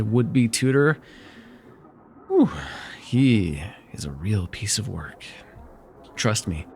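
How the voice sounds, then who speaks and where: A taunting, flirtatious villain of nightmares, acted out by a man for fantasy ASMR. The mid-pitched male voice is theatrical and very animated, teasing with mock sweetness. A little background noise sits under the recording. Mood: scornful. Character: villainous nightmare lord, sly, taunting, flirtatious dream invader. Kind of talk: ASMR fantasy roleplay acting.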